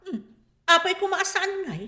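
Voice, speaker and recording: A woman's voice: very high-pitched, very animated, moderate pace, sharp tone, roomy sound, exaggerated voice. A woman with a Filipino accent playing a defensive, spendthrift mother who argues dramatically in a comedy radio drama. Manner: argumentative, dramatic.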